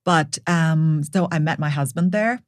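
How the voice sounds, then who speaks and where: A woman's voice: low-pitched, unhurried, no room sound, studio quality. Woman in her forties, native Cantonese speaker. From Hong Kong. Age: 40s. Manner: earnest, frequent fillers like "um" and "like". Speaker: guest, brand founder. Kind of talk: casual interview chat.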